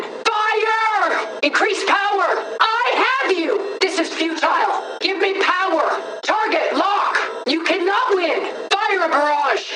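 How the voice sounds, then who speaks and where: A woman's voice: extremely high pitch, exaggerated voice. An actress voicing a commanding, possessive female villain in a video game, speaking formally with imperious, dramatic emphasis. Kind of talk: video game combat voice lines. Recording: distant, echoing voice with background noise.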